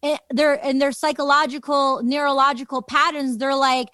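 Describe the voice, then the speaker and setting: A woman's voice: sits high, level tone, moderate pace, bright, forceful tone, no room sound, studio quality. A woman interviewing in a casual, reflective podcast style, effusively affirming and reflecting back what she hears.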